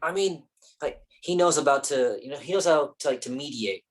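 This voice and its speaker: A low, husky voice for a defensive and ambitious male screen actor , played by a woman. The delivery is casual and sweary, in the manner of a staged play reading. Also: lively intonation, fairly quick. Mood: casually defiant. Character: defensive, ambitious young male film actor named Nick.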